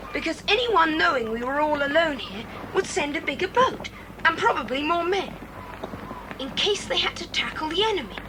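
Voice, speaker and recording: A woman's voice: very high-pitched, full character voice. A woman playing a resourceful young boy who takes charge, with a decisive British delivery in a children's audio drama. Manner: decisive, crisp commanding delivery. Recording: noisy old cassette recording.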